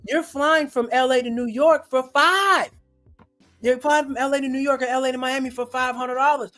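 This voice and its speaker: Comedian host, casual slangy storyteller, middle pitch, faint music underneath. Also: a bit bright. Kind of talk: casual livestream chat.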